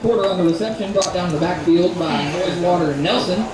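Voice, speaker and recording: High-pitched, echoing male stadium announcer. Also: fast talker, crowd noise. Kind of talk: public-address football announcing. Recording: echoey, very noisy stadium PA from afar.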